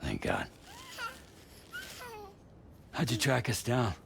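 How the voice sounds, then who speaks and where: An actor playing a weary, guarded man in hiding who cares for a baby, speaking tersely in a gruff mutter in a video game. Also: huge pitch swings, slow, background noise, heavily acted. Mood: dry, guarded. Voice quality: gruff, low. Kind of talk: naturalistic video game acting.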